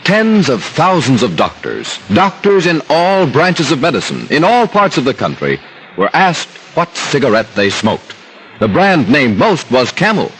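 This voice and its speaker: A man's voice: fairly high pitch, wildly swooping pitch, a little slow, a bit bright, slight background noise, rough recording. A man announcing and reading adverts in a scripted 1950s radio style. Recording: old radio broadcast, faint music.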